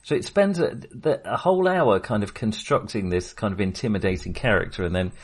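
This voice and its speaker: A man with a fairly low voice speaks as a podcast co-host in a relaxed film discussion. His phrasing is halting and hesitant, as he feels his way through each thought. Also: slightly rough.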